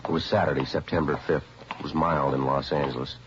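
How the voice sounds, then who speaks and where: An American man playing a terse, even-tempered police detective in a laconic, deadpan 1950s radio drama style. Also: very deep voice, quick pace, heavy background noise. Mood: matter-of-fact. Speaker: narrator and lead actor. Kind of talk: 1950s radio drama acting, clipped narration. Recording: muffled vintage radio recording, music behind.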